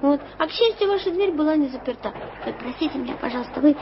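High-voiced bold little girl, stammers and fumbles, old dull radio play tape. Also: expressive, quick pace, noisy background. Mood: breathless. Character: bold twelve-year-old girl, would-be journalist. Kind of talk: 1980s radio play acting.

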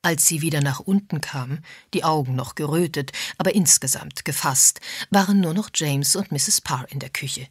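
Woman reading an audiobook, low kinda husky voice, super dry close sound. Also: moderate pace, hardly any pauses, no room sound. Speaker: audiobook narrator. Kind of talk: scripted narration of a mystery novel.

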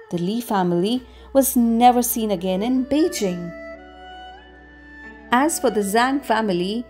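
A woman narrating a scripted children's story with expressive, deliberate phrasing. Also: mid-range voice. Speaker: storybook reader. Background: music.

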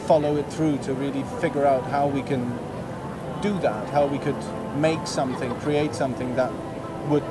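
A man in his thirties, an artist, explains his work in a thoughtful, halting way, often restarting his sentences. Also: medium pitch, fairly even intonation. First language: German. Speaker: artist and exhibit creator. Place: outdoors on a busy city plaza. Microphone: handheld microphone. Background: loud music.

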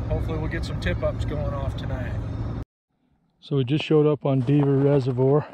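A man's voice: fairly high pitch, level tone, moderate pace, heavy background noise. A man casually explaining his ice fishing setup outdoors on a frozen lake, plainspoken and self-deprecating, recorded on a body-worn action camera. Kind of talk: casual how-to narration.

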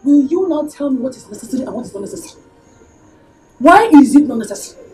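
A woman's voice: high voice, animated, quick pace, darkish tone, faint music behind. A Nigerian woman acting an affronted, argumentative character in a screen drama.

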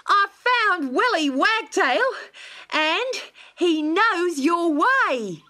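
A woman voicing a gentle, motherly female kangaroo. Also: very high voice, sing-song, drawn-out words, pitched above the performer's own voice, heavily acted. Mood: excited.

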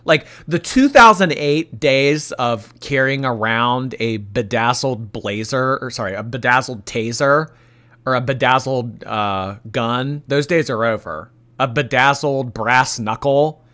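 A man's voice: medium pitch, a little slow. A young man with a slightly stuffy, nasal voice, chatting casually on a live stream and reading donations. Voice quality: a little nasal. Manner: animated, laughing, reacting to chat.

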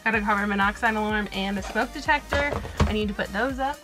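A woman's voice: medium pitch, fast talker, a bit bright, soft background music. A woman in her thirties chatting upbeat to camera while packing inside a small camper van. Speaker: vlogger. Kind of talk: casual vlog narration.

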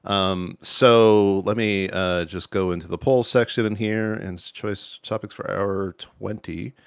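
Deep-voiced man rambling through announcements. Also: fairly even intonation, a little slow, gravelly, smooth, dry recording, clean studio sound. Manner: rambling, many fillers and restarts. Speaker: livestream host. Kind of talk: casual livestream announcement.

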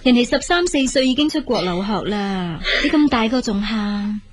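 Woman acting caring big sister, busy young mum, hong kong cantonese radio play, highish voice. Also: lively intonation, normal speed, background noise, lightly acted. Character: hard-working, caring elder sister and young mother.

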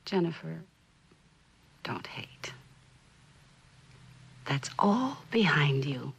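A woman's voice: deep voice, sing-song, very slow, gravelly, husky, full character voice. An actress playing a genteel, middle-aged mother who clings tenderly and hesitantly to her daughter, in a vintage suspense drama. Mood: pained, tender. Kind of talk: 1960s television suspense drama acting.

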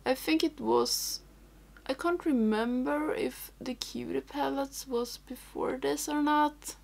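A young woman talks slowly through her makeup routine in English, which is not her first language. Her fairly high voice carries a gravelly fry, and she drifts into whispers while concentrating. Recorded on a camera close in front of her, in a small room at home. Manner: rambling, thinks aloud, drops to whispers while working. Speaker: makeup vlogger. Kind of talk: casual tutorial chat.

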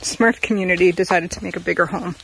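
A woman's voice: on the low side, steady tone, fast talker, vocal fry, a bit bright, a little hiss or hum, low-quality recording. A woman vlogger chatting casually as she walks a wooded trail outdoors, pointing things out as she goes. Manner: chatty, easygoing, points things out while walking. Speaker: hiking vlogger.

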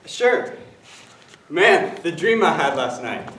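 Animated, high-pitched sitcom husband, played by a young man. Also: takes it slowly, heavily acted. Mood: chatty, excited. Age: young adult. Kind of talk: stage comedy acting. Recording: echoey theatre stage from far off, noisy.

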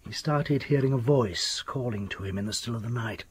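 An actor playing a haunted, desperate older doctor in a radio drama, telling things in a halting, theatrical way with a husky, creaky voice. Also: male voice, mid-range voice, slight background noise, lightly acted. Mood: grim, ominous.

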